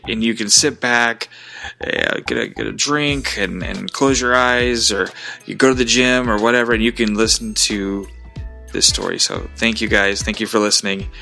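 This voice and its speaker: Male podcast host: lowish, creaky but bright voice, casual and enthusiastically rambling. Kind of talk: casual, conversational podcast talk.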